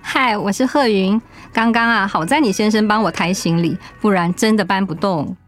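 An actress playing a kind, attentive middle-aged housewife talking in a radio drama. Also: female voice, medium pitch, moderate pace, faint music behind, lightly acted. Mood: polite, friendly. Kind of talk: radio drama acting, conversational.

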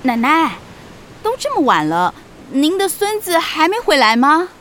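A woman voicing a lively, kindly witch aunt in an expressive children's audio drama style. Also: sits high, unhurried, a little hiss or hum, heavily acted. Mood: warm, friendly. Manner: expressive, animated.

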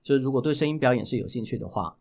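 A man's voice: medium pitch, lively intonation, rapid-fire, gravelly, a little mellow. A man with a Taiwanese Mandarin accent answering interview questions as a voice actor, explaining things with examples and repeating key points. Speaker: professional voice actor, podcast guest.

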